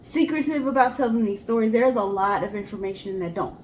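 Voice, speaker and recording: A woman's voice: on the high side, fairly quick, a little mellow. Woman podcast host in an echoey room. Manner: explanatory, addresses listeners directly. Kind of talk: casual discussion of a history story. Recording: roomy echo, clear background hiss.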